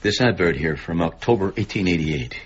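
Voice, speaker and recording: A man's voice: low-pitched, animated, moderate pace, a little hiss or hum. An actor playing an anxious, protective middle-aged librarian father in a radio drama, earnest and often hesitant. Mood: urgent, conspiratorial. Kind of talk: radio drama acting and first-person narration. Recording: muffled radio broadcast with faint music.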